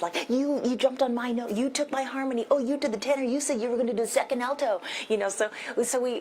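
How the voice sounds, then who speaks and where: A woman's voice: mid-range voice, darkish tone, a little hiss or hum. A woman from Korea, a guest performer at a press event in a large theater, reflective and long-winded. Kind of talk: personal storytelling at press conference.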